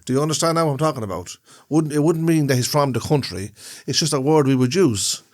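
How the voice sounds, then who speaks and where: A man's voice: on the high side, animated, fast, darkish tone. Rambling man in casual chat. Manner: rambling, repeats and restarts phrases while explaining. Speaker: podcast co-host. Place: room, indoors.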